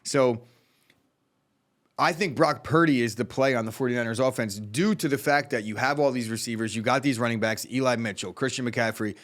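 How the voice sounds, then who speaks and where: A man's voice: mid-range voice, fairly bright tone. Opinionated male sports podcast co-host. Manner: fast opinionated talk, many restarts. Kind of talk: casual sports chat.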